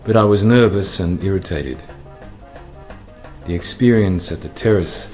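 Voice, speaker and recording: Man, Australian accent, narration, slow, brooding, faint music behind. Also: low voice, darkish tone. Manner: brooding, measured. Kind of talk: scripted radio play narration.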